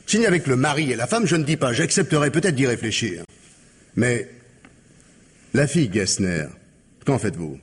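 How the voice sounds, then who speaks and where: A stubborn police inspector from an old radio mystery. He talks bluntly and firmly. His voice is husky and rises and falls widely. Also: mid-range voice, lively intonation, normal speed, pauses often. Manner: blunt, firm. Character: stubborn, dry-witted male police inspector. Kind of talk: 1950s radio drama acting.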